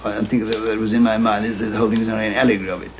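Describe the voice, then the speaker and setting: A man making informal interview remarks in halting, fragmented phrases. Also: on the low side, flat delivery. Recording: faint background noise, ambient sound.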